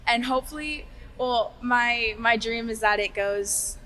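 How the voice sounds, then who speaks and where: A woman's voice: fairly high pitch, a little slow, sharp tone. A young woman volunteer speaking casually into a handheld mic in a school gym, saying "like" often. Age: young adult. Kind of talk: on-location interview. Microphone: handheld reporter mic. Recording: reverberant gym, faint background noise.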